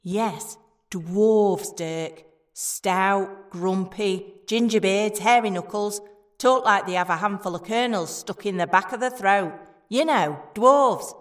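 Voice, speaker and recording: A woman's voice: mid-range voice, slow, no room sound, clean studio sound, lightly acted. A woman playing a sardonic, impatient, no-nonsense adventurer in a fantasy audio drama, with blunt sarcasm.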